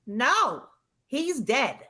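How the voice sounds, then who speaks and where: A woman doing a snappish, sharp boarding-house woman. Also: high-pitched, big pitch swings, drawn-out words, breathy edge, full character voice. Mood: curt, annoyed. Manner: snappish, blunt. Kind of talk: vintage radio drama acting.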